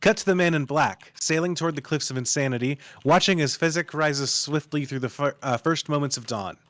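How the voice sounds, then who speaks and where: A man's voice: fairly high pitch, a little fast, a bit bright, no echo. Man narrating a script, dry sound. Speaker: narrator reading stage directions. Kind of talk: scripted dramatic narration at a live table read.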